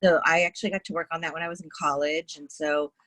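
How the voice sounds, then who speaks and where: A woman chatting casually on a video call, relaxed and rambling with fillers. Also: sits low, quick pace, dry recording. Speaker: panelist. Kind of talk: casual chat.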